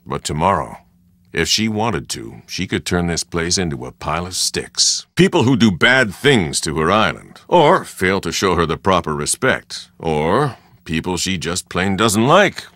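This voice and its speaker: An actor playing a brash, easygoing middle-aged Hawaiian surf shop dad in a video game, talking in colloquial, clipped phrases. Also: male voice, low voice, very animated, measured pace, a bit bright, dry recording, clean studio sound, exaggerated voice. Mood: casual, dismissive. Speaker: game character: beach shop owner.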